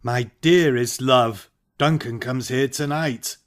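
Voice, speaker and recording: A man's voice: mid-range voice, slow pace, natural voice. A man playing a brooding thane in a grave, restrained Shakespearean audiobook performance. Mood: guarded. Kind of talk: dramatic Shakespearean audiobook reading.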